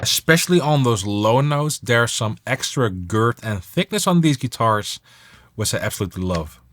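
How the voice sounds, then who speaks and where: A man's voice: medium pitch, animated, normal speed, fairly bright tone. A man talking casually as an instructor, explaining music production steps while he demonstrates them.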